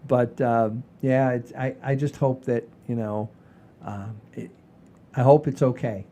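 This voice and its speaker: Mellow-voiced man in his sixties, casual storyteller. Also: mid-range voice, steady tone, measured pace. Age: 60s. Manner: emphatic, repetitive, profane. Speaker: podcast host. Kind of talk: casual comedic storytelling chat.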